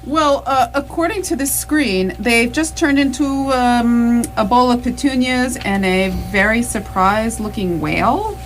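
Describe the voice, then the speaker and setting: A woman's voice: on the high side, unhurried, hardly any pauses, slight background noise, lightly acted, poor audio. A woman playing a startled, puzzled spaceship crew member in radio theatre. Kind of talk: staged radio theatre acting.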